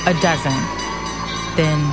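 A woman with a low voice delivers scripted dramatic documentary narration. Her phrasing is measured and grave, often clipped short, and loud music runs behind her. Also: steady tone.

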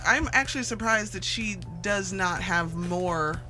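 Female radio host: bright, medium pitch, casual and folksy, over a light music bed. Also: a little slow. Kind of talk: casual hosted radio talk. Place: radio studio.

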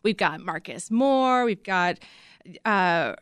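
A woman's voice: on the low side, expressive, slow, a bit bright, clean tone, no room sound, clean studio sound. A woman answering interview questions as a guest, speaking casually and conversationally about her creative work. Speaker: interview guest, casting director.

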